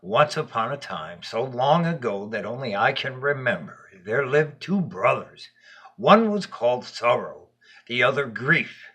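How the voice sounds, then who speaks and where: A man voicing Death as a gentle, wise, solemn storyteller in a bedtime tale. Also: medium pitch, big pitch swings, unhurried, breathy edge, exaggerated voice. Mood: storytelling.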